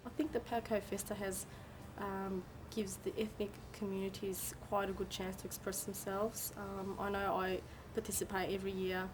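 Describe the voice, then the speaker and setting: Woman, middle pitch, flat delivery, reflective, music behind. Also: moderate pace, low-quality recording. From overseas, moved to Australia as a child. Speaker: community member. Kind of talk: casual interview.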